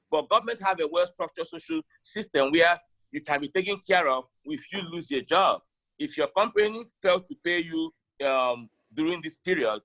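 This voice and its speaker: A man's voice: on the high side, fairly bright tone, poor audio. A man with a Nigerian Igbo accent, giving his opinions in an interview in an emphatic way, with short rhetorical questions. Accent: Nigerian Igbo-language accent. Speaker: interviewee, sports broadcaster.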